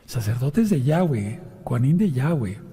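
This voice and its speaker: A man preaching and teaching from scripture in a hoarse voice, addressing his listeners directly with questions and instructions. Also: mid-range voice, big pitch swings, measured pace, warm tone. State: hoarse voice from sinusitis after an operation. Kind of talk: sermon with scripture reading and commentary. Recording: long reverberant hall sound.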